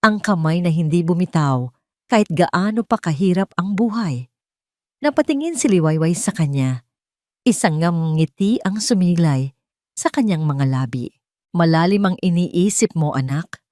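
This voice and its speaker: Low-pitched Filipino woman narrator, dry sound. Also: lively intonation, moderate pace, no room sound. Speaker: narrator and host of an audio story channel. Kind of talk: scripted dramatic story narration in a sentimental register.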